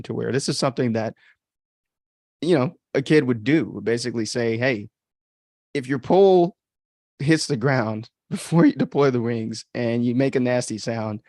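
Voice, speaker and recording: A man's voice: medium pitch, vocal fry, darkish tone, dry recording, studio quality. An American man giving casual, off-the-cuff commentary as a podcast host, chatty and informal with reflective asides.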